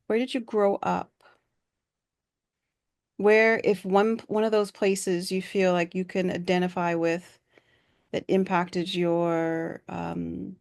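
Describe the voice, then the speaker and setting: Unhurried woman interviewer. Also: fairly low pitch, vocal fry. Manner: unhurried, affirming, many fillers. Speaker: artist host. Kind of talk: conversational interview.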